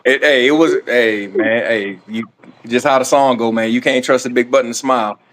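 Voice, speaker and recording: A man's voice: medium pitch, steady tone, normal speed, fairly bright tone. A middle-aged man in casual banter, emphatically scolding and ribbing with repeated phrases. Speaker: livestream panelist.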